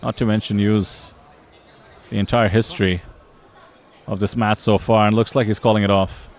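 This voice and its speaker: A man with a fairly low voice calls a poker match from a commentary booth. He breaks down each hand in an analytical way, with a dry sense of humor. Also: little pitch movement, moderate pace.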